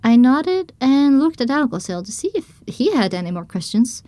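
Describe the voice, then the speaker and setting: A woman reading scripted first-person story narration for an audio drama, with expressive storytelling delivery. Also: high voice, moderate pace, no room sound.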